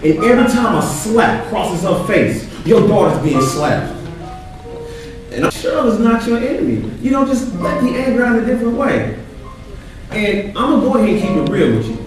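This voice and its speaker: A man's voice: high-pitched, big pitch swings, normal speed, over music. A man acting in a stage play as an earnest churchgoing friend who counsels with preachy, urging emphasis. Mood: solemn, urging. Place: theatre stage, captured from afar. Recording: distant, echoey theatre stage recording.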